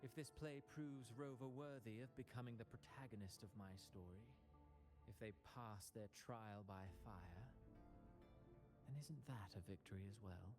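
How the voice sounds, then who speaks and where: Theatrical smooth-talking villain playwright acted by a man, over music. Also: lowish voice, moderate pace, lightly acted. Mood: smug, scheming. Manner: theatrical, drawling, poetic. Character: theatrical male villain playwright, smug, scheming, savoring tragedy. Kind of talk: scripted video game voice acting. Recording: music playing behind the voice.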